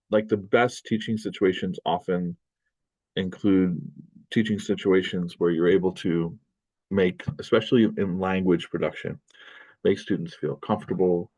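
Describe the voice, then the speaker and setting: American man, very low voice, rambling lecture, lots of fillers and restarts, desk webcam recording. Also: a little mellow. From United States. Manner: loose, rambling delivery with many fillers and restarts. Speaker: college linguistics instructor. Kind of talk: recorded lecture over screen share. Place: indoor room at a desk.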